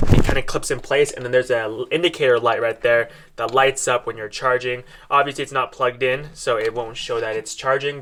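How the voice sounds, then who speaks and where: A man's voice: mid-range voice, noisy background. A man presenting a product in a casual, step-by-step unboxing style, explaining things directly to viewers as he demonstrates.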